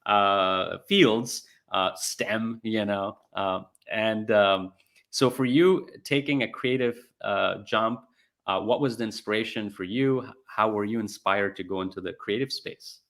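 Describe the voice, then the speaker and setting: Halting low-voiced male interview host. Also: fairly bright tone. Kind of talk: conversational interview.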